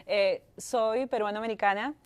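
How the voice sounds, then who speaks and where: A woman's voice: medium pitch, fairly even intonation, normal speed, clean tone. A woman in her thirties from Peru with a Peruvian Spanish accent, a lawyer answering interview questions in a chatty way and slipping in English words. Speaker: guest lawyer.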